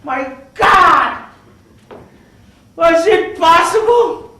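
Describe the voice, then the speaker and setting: A man's voice: high-pitched, animated, slow pace, exaggerated voice. A man performing a theatrical horror monologue as a guilt-maddened murderer, melodramatic and intense. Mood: frantic, shrieking. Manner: intense, melodramatic, swinging from hushed to shrieking. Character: guilt-maddened male murderer, feigning calm then frantic. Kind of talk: theatrical dramatic monologue of a classic horror tale. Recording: roomy, distant mic, faint noise.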